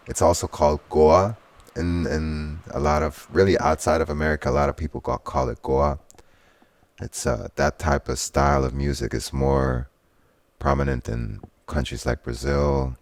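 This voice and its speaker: A man's voice: very deep voice, gravelly. A man from Switzerland whose first language is Swiss German, talking earnestly as an interview guest and using spiritual turns of phrase. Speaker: guest, soul singer and DJ. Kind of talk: casual conversational interview.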